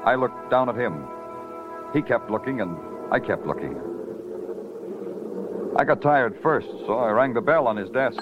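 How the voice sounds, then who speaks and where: A man's voice: mid-range voice, lively intonation, a little slow, long pauses, natural voice. Man playing a hard-boiled detective, muffled audio. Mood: weary. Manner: brooding, sardonic. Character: world-weary, hard-boiled male New York night detective. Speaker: lead detective and narrator. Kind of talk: old-time radio noir narration and dialogue. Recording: dull 1950s radio recording, loud music.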